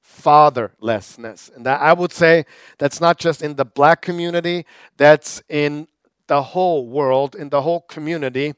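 Male pastor, crisp dry voice. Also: a little high, normal speed, dry recording. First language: German. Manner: conversational preaching with repetitions and fillers. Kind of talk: sermon.

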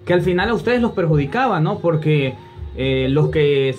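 Honduran man, sports interviewer, higher-pitched, wordy conversational questioning over faint music. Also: lively intonation, normal speed, darkish tone, muffled recording.

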